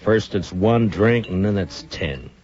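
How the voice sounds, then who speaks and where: Low-voiced stern western marshal. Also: male voice, lively intonation, measured pace, darkish tone. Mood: gruff, wry. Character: stern, world-weary old West town marshal. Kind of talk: 1950s radio western acting. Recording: dull vintage radio recording.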